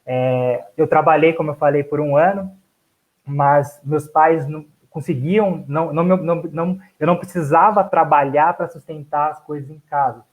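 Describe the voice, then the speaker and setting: Rather high-voiced man, halting casual talk. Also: fairly even intonation, phone-quality sound. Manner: hesitant, many restarts and fillers. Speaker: physics PhD student and council candidate. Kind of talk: casual spoken life story in a debate.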